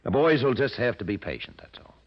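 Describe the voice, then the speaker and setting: An actor in 1940s radio style playing a calculating, ambitious gangster boss, cool, boastful and menacing. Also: male voice, mid-range voice, very animated, fairly quick. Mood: smug scheming. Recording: muffled old radio drama recording.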